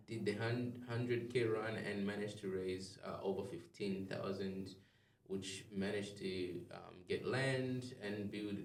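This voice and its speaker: Male guest from Zambia, low gravelly voice, rambling casual delivery, recorded off-mic. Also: far from the mic. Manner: rambling, many ums and restarts. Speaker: podcast guest. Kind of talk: casual interview chat. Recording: echoey room, off-mic.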